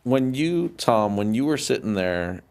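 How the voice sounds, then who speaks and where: A man's voice: mid-range voice, sing-song, measured pace, a little mellow. A man hosting a casual interview, asking questions in a chatty, conversational way. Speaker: host, military veteran.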